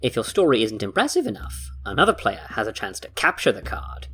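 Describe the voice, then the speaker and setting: A man's voice: medium pitch, huge pitch swings, fairly quick, bright, forceful tone, a little hiss or hum. A man playing a smirking, playful trickster rogue in a fantasy audio drama, with a sly showman's way of explaining. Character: smirking male trickster rogue, playful card-game host. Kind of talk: acted audio fiction dialogue.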